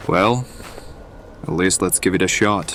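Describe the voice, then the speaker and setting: An actor playing a pragmatic, seasoned survivalist hireling in an audio drama, matter-of-fact and instructive. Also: male voice, low voice, a little slow, a bit bright, slight background noise. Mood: practical, focused.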